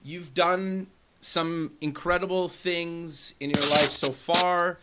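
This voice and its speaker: A man's voice: fairly high pitch, steady tone, slow pace, pushed and bright, clean tone. A man hosting a radio and TV interview show, reading a scripted intro and then asking questions in an enthusiastic, hedging way. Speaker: host.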